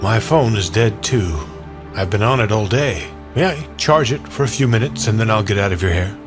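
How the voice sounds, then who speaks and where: A man acts out a courteous elderly stranger in an audio drama. He is plain-spoken and kindly, with worry in his halting delivery. Music plays behind the voice. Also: on the low side, expressive, lightly acted. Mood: polite, weary. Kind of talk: audio drama character acting.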